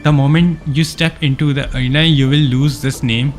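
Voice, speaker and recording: A man voicing a stern male mentor from a fantasy game, reading the part aloud in a solemn, deliberate way. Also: medium pitch, steady tone, faint music behind, close to an everyday voice. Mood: solemn, challenging. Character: stern male arena mentor challenging a young fighter.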